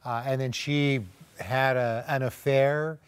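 A man's voice: mid-range voice, fairly even intonation, slow pace, clear, resonant tone. A man hosts a TV talk show on a studio set and interviews a guest. He asks leading questions that sum up the guest's story. A lapel mic picks up his voice.

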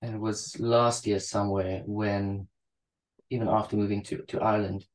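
A man's voice: fairly low pitch, level tone, poor audio. A man in his thirties with a South African accent, a psychologist speaking thoughtfully as a guest in a video-call interview. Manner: thoughtful, measured, with restarts. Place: small room indoors. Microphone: video call webcam.

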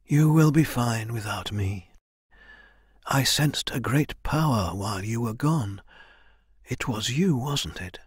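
An ancient female mentor from a fantasy audiobook, voiced by a man, weary and grave. The speech is solemn, quiet and measured, at a middling male pitch in a dry recording. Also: expressive, breathy edge, no room sound, exaggerated voice. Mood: gentle.

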